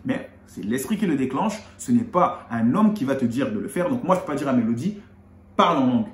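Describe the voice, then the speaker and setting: A man from Congo explaining Bible verses in a relaxed, conversational way, rising in pitch on key points. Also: fairly quick. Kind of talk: explaining scripture in casual chat. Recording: echoey room.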